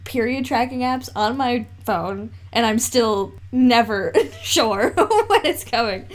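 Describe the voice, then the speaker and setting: A woman's voice: fairly high pitch, animated, slow pace. A woman, a podcast co-host chatting casually and reacting with wry, giggly disbelief. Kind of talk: casual chat, joking reactions.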